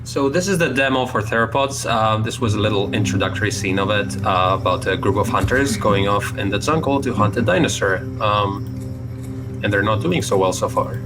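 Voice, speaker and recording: A young man from Greece, a game developer, presenting his indie game in a hesitant way, often pausing with fillers. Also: lowish voice, loud music behind the voice.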